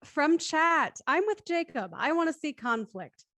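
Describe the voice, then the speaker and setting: A woman's voice: high voice, lively intonation, measured pace, a bit bright, dry recording. A woman hosting a live-streamed show in a casual, chatty and playful way. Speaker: host of a live script-reading show.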